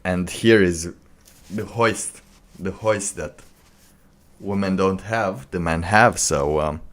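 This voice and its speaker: A man giving casual, off-the-cuff commentary as a streamer, in a halting, rambling way. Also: deep voice, fairly bright tone. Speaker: streamer reacting to a video.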